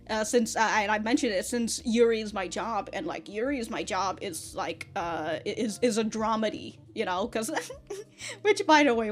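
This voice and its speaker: High-voiced, giggly woman chatting casually. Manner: giggly, often laughing as she talks; rambling, stammering with repeated false starts and frequent "like". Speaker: anime reaction and review host. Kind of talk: casual, unscripted review chat to viewers.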